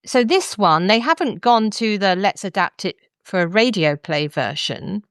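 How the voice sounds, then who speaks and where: A woman's voice: medium pitch, lively intonation, a little slow, dry recording, studio quality. A woman hosting a podcast, discussing books in an articulate, explanatory way. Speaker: podcast host. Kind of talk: thoughtful podcast book discussion.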